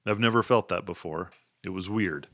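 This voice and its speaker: Guy doing a sheepish servant buddy, low voice, bit puzzled, dry sound. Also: normal speed, fairly bright tone, no echo. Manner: sheepish, wondering. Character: sheepish male heavenly servant friend.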